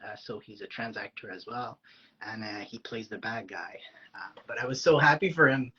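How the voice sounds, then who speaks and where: A French-speaking man with a French Canadian accent chats as a guest, telling stories in a loose, animated way and voicing the people in them. His voice is bright and somewhat husky, with plenty of ums and likes. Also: fairly low pitch, normal speed, dull audio. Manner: animated, acts out quoted lines, many fillers. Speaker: guest, aspiring actor. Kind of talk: casual storytelling.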